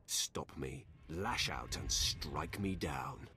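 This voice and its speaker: An actor playing a menacing, commanding Sith lord in a video game, with a very deep, husky voice and a coldly tempting manner. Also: male voice, lively intonation, bright, forceful tone, a little hiss or hum, heavily acted. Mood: taunting. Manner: commanding, deliberate, taunting.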